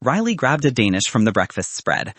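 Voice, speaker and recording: Male narrator for contemporary fiction. Husky, wry and animated, recorded dry. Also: medium pitch, brisk, dry recording. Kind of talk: scripted audiobook narration.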